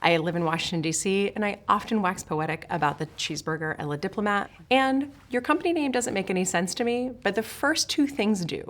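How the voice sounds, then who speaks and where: A woman's voice: mid-range voice, a little fast, few pauses. A woman from Switzerland, a sales trainer, giving a scripted lesson straight to the viewer in a direct, emphatic way. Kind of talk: scripted instructional talk to camera.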